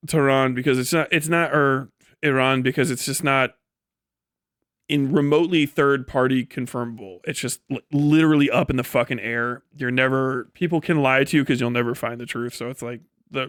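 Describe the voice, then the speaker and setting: A man's voice: medium pitch, a bit bright, smooth, no echo. Male streamer, clean resonant voice in a very dry space, riffing on politics with blunt, dismissive, profane sarcasm. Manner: sardonic. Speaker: live streamer. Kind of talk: off-the-cuff political commentary on a livestream.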